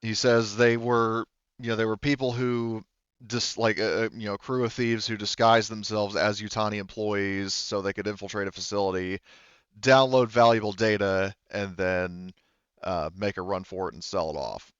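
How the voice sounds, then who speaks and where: A man narrating a tabletop roleplaying game as game master, in a halting, conversational way, rephrasing as he goes. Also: lowish voice, fairly even intonation, a bit bright, smooth, no echo, dull audio.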